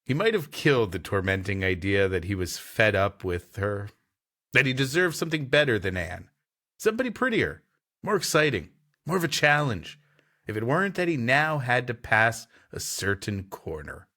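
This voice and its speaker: Male podcast host, mid-pitched and highly animated. Reads fiction aloud and banters, playful and theatrical. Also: moderate pace. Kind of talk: scripted story narration mixed with comic banter.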